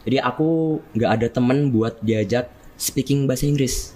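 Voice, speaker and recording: A teenage boy with a Javanese accent, a student guest on a school podcast, explaining things at length in a relaxed way. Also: medium pitch, normal speed. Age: teens. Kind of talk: casual podcast chat.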